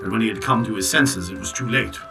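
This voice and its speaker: A man playing a loyal clockwork servant who tells a story in a formal, measured, precise way in an audio drama. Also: lowish voice, distant mic, over music, natural voice. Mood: solemn. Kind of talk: full-cast audio drama narration.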